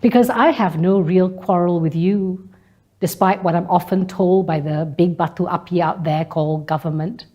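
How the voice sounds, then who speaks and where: A woman delivering a scripted spoken-word monologue in measured, deliberate phrases. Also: fairly low pitch, highs cut off. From Malaysia. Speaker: speaker delivering a personal monologue.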